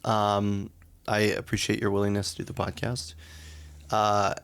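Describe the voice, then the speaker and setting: A man hosting a podcast, chatty and joking, often pausing with fillers. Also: lowish voice, monotone, fairly bright tone, clear, resonant tone. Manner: joking, upbeat, hesitant with fillers. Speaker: podcast host. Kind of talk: casual interview chat.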